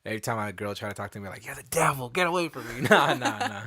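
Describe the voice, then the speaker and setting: Young guy cohosting a podcast, slangy and cussing, mid pitch, super dry sound. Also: expressive, quick pace, sharp tone, dry recording. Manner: laid-back slangy talk, swears freely. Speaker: podcast co-host. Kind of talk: casual chat.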